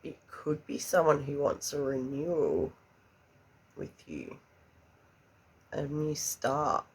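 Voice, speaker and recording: A woman's voice: low voice, sing-song, takes it slowly, husky. A woman giving a pick-a-card tarot reading, chatty and a little congested, murmuring questions to spirit under her breath. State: congested after an illness. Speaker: tarot reader.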